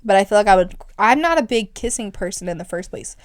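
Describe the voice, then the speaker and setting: A young woman co-hosting a podcast in casual chat, animated and rambling, sometimes rising loud and shrill when excited. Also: fast talker, dry recording, clean studio sound. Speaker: podcast co-host.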